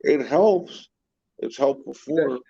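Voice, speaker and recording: A congested man with a deep, gravelly voice full of fry, sounding stuffed up and worn. He talks slowly and bluntly in casual chat and curses freely. The recording is dry and dull. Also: dark tone, no room sound. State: congested, coughing.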